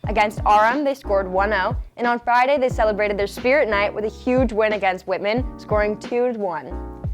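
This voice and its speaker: A woman's voice: medium pitch, moderate pace, over music. A young woman reading the school sports updates from a script with an upbeat, slangy delivery. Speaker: student sports reporter.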